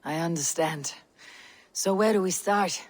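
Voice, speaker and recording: An actress playing a calm, steadfast Viking warrior in a video game, speaking in terse, formal, resolute lines. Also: female voice, lowish voice, measured pace, dry recording. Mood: steady, resolute. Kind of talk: dramatic video game cutscene acting.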